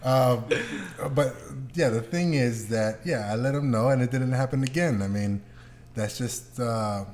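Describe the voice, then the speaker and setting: A man's voice: mid-range voice, unhurried, a little mellow. Casual man, podcast banter. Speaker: podcast co-host. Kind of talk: casual chat.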